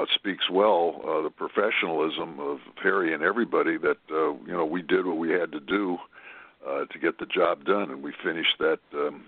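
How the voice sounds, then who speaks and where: A man, a film director calling in as a guest, recounting a shoot in a rambling way, with many pauses. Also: deep voice, creaky, slightly rough, no room sound. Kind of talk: casual storytelling on a call-in show. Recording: tinny phone line, dry.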